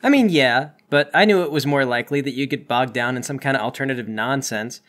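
A man's voice: medium pitch, fairly quick, a bit bright. A man acting as game master, mixing casual banter with narration for the players.

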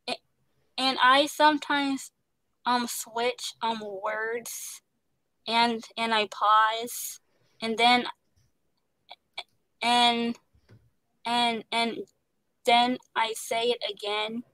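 A woman's voice: on the high side, bright, forceful tone, clean tone, no room sound, low-quality recording. A young woman who stutters, speaking on a headset over a video call from home, with many fillers and repeated words. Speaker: guest, voice actor. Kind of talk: casual interview chat. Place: home room.

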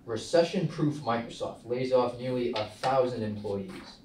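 A man's voice: mid-range voice, unhurried, darkish tone, natural voice. A young man reading the part of a brash startup founder at a film table read, insistent and persuasive like a salesman. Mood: cocky. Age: young adult. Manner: insistent, preachy salesman delivery. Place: small meeting room, indoors. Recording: roomy, distant mic, faint noise.

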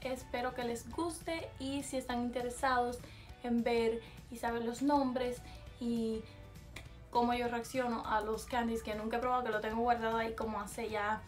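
A young woman with a Cuban Spanish accent, chatting casually to camera in a small bedroom and slipping English words into her talk. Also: on the high side. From Cuba. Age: young adult. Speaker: vlogger. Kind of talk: casual vlog, taste test.

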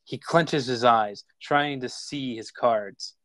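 Male narrator for screenplay action lines, medium pitch, measured, plain delivery, dry close sound. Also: fairly bright tone, no room sound. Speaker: stage directions reader. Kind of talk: scripted narration.

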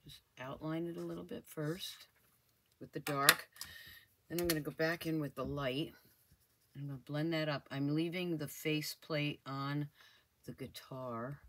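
A woman's voice: low-pitched, fairly even intonation, measured pace. An older woman hosting a crafting channel, chatting and thinking aloud as she works at her craft desk. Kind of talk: casual crafting tutorial voice-over.

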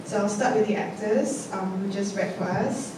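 Woman emcee, reading bios steadily, handheld mic, echoey hall, distant, noisy. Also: mid-range voice. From Singapore. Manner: measured, reads from notes with occasional ums. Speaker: event emcee introducing panel speakers. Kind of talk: reading speaker bios aloud. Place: large theatre stage with a seated panel. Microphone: handheld mic, heard from a distance.

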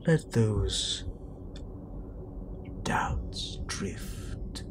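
A man playing a menacing, commanding Sith master who guides an apprentice in a breathy, deliberate half-whisper, in a scripted roleplay audio. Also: lowish voice, animated. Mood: commanding, intense. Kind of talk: scripted roleplay meditation audio, intimate address.

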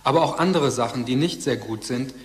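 A man's voice: medium pitch, brisk, natural voice. A man reading scripted narration in a formal, matter-of-fact way.

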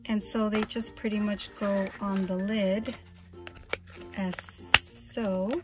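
A woman's voice: mid-range voice, level tone, slow pace, over loud music. A woman chatting casually in a vlog, showing her purchases and gushing, often restarting her sentences.

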